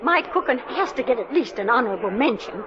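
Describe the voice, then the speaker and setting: An actress playing an excitable, modest middle-aged American farm wife in a 1950s radio musical. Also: female voice, a little high, brisk, natural voice. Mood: nervous. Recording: dull vintage radio recording, crowd noise.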